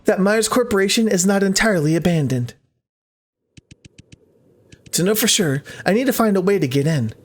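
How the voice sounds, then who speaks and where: A man's voice: high-pitched, animated, moderate pace, pauses often. A man voicing a curious, suspicious investigator in a visual novel, questioning and uneasy. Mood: determined. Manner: questioning, wary.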